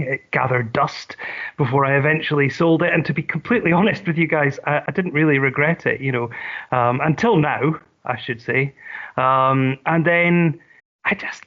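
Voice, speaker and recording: A middle-aged Scottish man chatting as a podcast guest. He talks in a friendly, meandering way, full of ums and little restarts. His voice sits at an ordinary middle pitch and comes through a dull, lo-fi remote line. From Scotland. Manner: chatty, hesitant with frequent ums. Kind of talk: casual chat.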